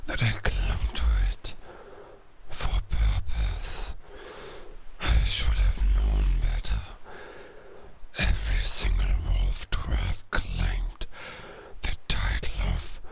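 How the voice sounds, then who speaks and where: Dying old-world werewolf, super slow rumbling growl, breathy, sad and poetic, audio drama. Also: male voice, slight background noise, exaggerated voice. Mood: regretful, solemn. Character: dying male werewolf, weary, melancholic would-be king.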